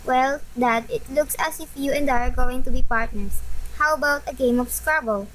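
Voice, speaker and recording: Woman playing an eager, high-voiced pestering schoolgirl. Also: normal speed, a bit bright, rough recording. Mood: restless. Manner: whiny, pleading, exclaiming. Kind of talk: radio play acting. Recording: faint background noise.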